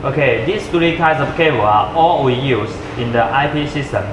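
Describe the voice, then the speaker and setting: A man who speaks English as a second language gives an unscripted product demonstration, haltingly searching for words with uneven grammar. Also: medium pitch. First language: not English. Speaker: product presenter. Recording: echoey room with clear background noise.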